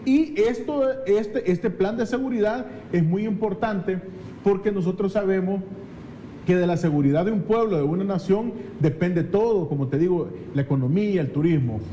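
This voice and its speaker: A high-voiced man with a Salvadoran accent explains things as a political guest on a talk show. His tone is soft and mellow, and he often doubles back on his phrases. There is faint noise in the recording. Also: normal speed, muffled recording.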